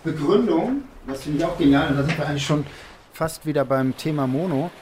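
A man's voice: fairly high pitch, a little fast, darkish tone. Casual man over faint background noise. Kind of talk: casual conversation.

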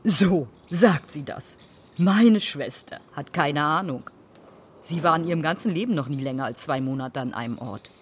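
A woman's voice: sits low, expressive, moderate pace, a little hiss or hum. Weary, sardonic mother, swinging intonation. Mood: sarcastic. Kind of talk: naturalistic radio play acting.

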